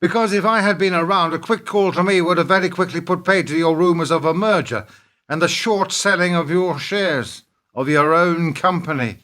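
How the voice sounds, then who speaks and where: A man acting a wounded, principled businessman, bright-voiced. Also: high voice. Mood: bitter, wry. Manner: halting, wry, pointed. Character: wounded, principled businessman confronting his treacherous partner. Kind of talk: radio play acting.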